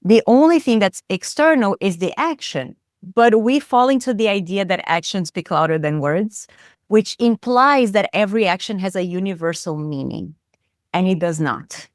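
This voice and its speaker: Brazilian woman therapist talking thru ideas, smooth voice, dry close sound. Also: mid-range voice, expressive, moderate pace, dry recording. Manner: thoughtful, explanatory, many fillers. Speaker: psychotherapist guest. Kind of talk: interview conversation.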